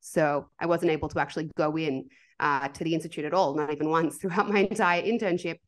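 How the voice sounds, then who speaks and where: A woman's voice: deep voice, fairly even intonation, fast. A young Australian woman hosting a podcast interview, talking in an articulate, engaged way. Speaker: podcast host. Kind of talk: casual interview talk.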